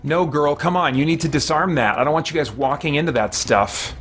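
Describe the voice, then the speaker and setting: A man's voice: fairly high pitch, fairly quick, a bit bright. A man in his forties doing casual livestream gameplay commentary, playfully talking to himself, his characters and viewers. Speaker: game streamer and host. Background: game music.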